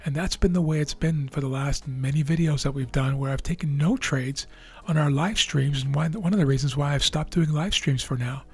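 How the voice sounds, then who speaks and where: A man with a raspy, tired voice, hosting a trading video and explaining live charts in a measured way with frequent fillers and restarts. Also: on the high side. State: losing his voice.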